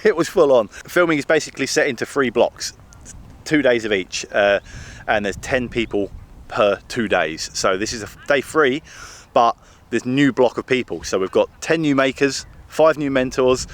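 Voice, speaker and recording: A British man with a hoarse, fading voice, chatting enthusiastically to a handheld camera as he walks through a garden. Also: medium pitch. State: hoarse, losing his voice. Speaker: vlogger and TV presenter. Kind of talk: casual walk-and-talk vlog. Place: outdoors in a large garden.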